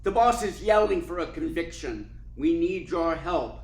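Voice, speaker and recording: A man's voice: on the high side, animated, measured pace. A man playing an officious, self-important district attorney in a radio play, formal and pressing in his delivery. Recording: echoey room.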